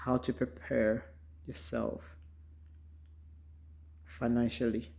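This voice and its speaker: A man from Ghana with a Ghanaian accent, giving an unhurried, reflective motivational talk full of ums, recorded in a car. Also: medium pitch, little pitch movement, creaky, darkish tone. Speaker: vlogger. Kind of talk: casual motivational monologue.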